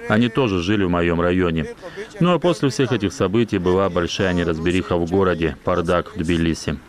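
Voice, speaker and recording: A man reading a translated news voice-over in a measured, even way. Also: sits low.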